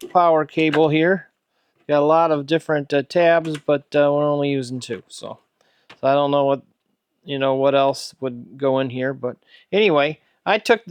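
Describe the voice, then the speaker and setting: A man's voice: a little high, smooth, no room sound. A man in his sixties giving a casual, unscripted show-and-tell as a hobbyist, rambling and musing aloud. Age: 60s. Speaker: host, hobbyist radio restorer. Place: indoor electronics workbench.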